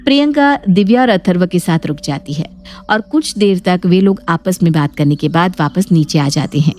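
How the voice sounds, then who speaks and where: A woman's voice: fairly low pitch, lively intonation, moderate pace, a little mellow. A woman reading scripted story narration. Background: background music.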